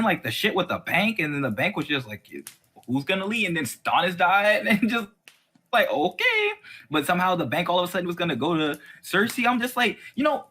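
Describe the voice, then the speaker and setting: A man in a casual, opinionated chat as host, talking in an animated, exasperated way and slipping into mocking quotes. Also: fairly high pitch, breathy edge. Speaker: host of a fan discussion series.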